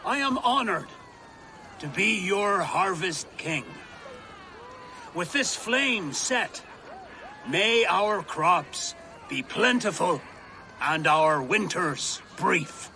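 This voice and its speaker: Old male village elder, solemn and tender, very slow delivery with swooping pitch, game drama. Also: animated, sharp tone, slight background noise, full character voice. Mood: solemn, proud. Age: older. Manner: measured, ceremonial delivery. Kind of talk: dramatic game cutscene acting.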